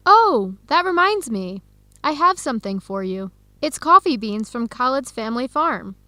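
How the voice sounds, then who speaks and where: A young woman playing an earnest researcher searching for her birth family in an educational radio drama, speaking clearly and conversationally. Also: a little high, sing-song, moderate pace, bright, forceful tone, dry recording. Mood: warm, upbeat. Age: young adult.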